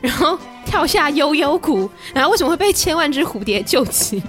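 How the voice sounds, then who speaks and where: High, animated Taiwanese woman bantering. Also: faint music behind. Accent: Taiwanese Mandarin. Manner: playful, exclamatory, quick to laugh. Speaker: podcast co-host. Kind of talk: casual banter.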